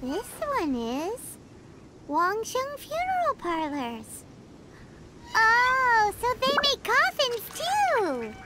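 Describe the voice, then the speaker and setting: A woman voicing a cheerful, cheeky little fairy companion in a video game, with a squeaky childlike voice and bubbly, exclamatory delivery. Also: huge pitch swings, extremely slow, very noisy, heavily acted. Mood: eager, curious. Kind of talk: English video game dub acting. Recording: loud game music behind.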